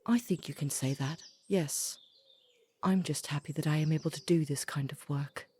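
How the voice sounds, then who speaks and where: A woman playing a courteous, devoted queen consort in a fantasy audio drama, polite and composed, with a husky voice. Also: low voice, moderate pace, a little mellow. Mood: fond. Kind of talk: scripted fantasy audio roleplay.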